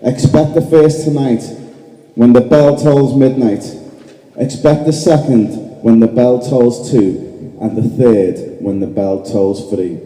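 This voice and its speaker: A male actor voices a reproachful dead man's spirit in a radio drama. The voice is very dark and muted, grave and declamatory. It rings through a hall with long reverb. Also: medium pitch, steady tone, takes it slowly, natural voice. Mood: ominous warning. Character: solemn, reproachful male ghost of a dead business partner. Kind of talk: stage radio play acting.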